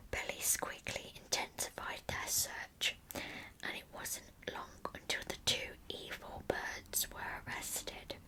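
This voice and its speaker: A woman narrating a true crime story as ASMR, in a breathy, unvoiced whisper close to the microphone with slow, deliberate storytelling. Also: pushed and bright, poor audio.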